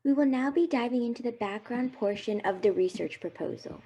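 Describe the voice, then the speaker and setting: A woman's voice: on the high side, a little fast, dark tone, slight background noise. A young woman from Delaware presenting a student research proposal, reading earnestly from a script with small slips.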